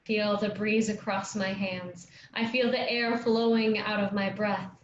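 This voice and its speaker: A woman's voice: mid-range voice, little pitch movement, a little slow, close to an everyday voice. A woman playing an earnest, reverent young girl learning from forest spirits in a staged play reading. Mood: calm, searching. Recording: roomy, dull, noisy lo-fi recording.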